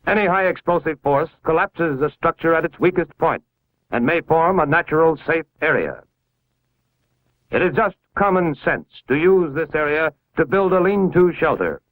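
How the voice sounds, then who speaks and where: Formal 1950s American male film narrator, higher-pitched. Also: lively intonation, normal speed, a bit bright, no room sound, rough recording. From United States. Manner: formal, authoritative, instructional. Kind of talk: 1950s civil defense film narration, scripted. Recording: vintage 1950s film soundtrack.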